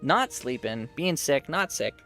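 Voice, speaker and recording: A man chats casually through a live game stream, answering viewers between plays. His voice is mid-pitched and getting worn as his throat gives out, and faint game music plays behind him. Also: expressive, a little slow, pushed and bright. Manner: chatty, reads and answers viewers. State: losing his voice, sore throat. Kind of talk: casual live-stream chat while playing.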